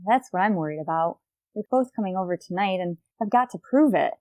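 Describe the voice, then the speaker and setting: An actress playing a wry, quick-witted high school teacher in an old-time radio comedy, arch and polite in manner. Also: female voice, expressive, darkish tone, no room sound, natural voice. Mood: worried. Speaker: lead actress.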